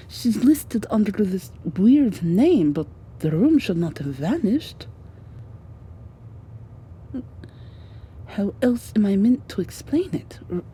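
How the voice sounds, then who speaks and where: A woman voicing a devoted, guilt-ridden rescuer in an ASMR roleplay drama, hushed, halting and earnest. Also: mid-range voice, a little hiss or hum. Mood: confused, urgent.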